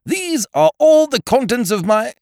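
A man voicing a pompous, indignant chancer in an audiobook, loud and emphatic, stammering, with old-fashioned British slang. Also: sits low, huge pitch swings, normal speed, no echo, studio quality, heavily acted. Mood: scornful, insistent.

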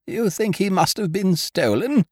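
A man's voice: high voice, lively intonation, measured pace, breathy edge, dry recording, studio quality, voice pushed up, heavily acted. A man voicing a haughty, fretful upper-class lady in a humorous audiobook, with a formal, plaintive, fluttering manner. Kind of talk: humorous audiobook character dialogue.

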